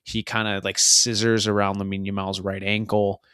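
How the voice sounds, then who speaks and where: A man's voice: on the low side, little pitch movement, normal speed, sharp tone, smooth, no room sound, clean studio sound. A man co-hosting a casual soccer podcast, chatting through match recaps in a rambling, easygoing way. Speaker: podcast co-host.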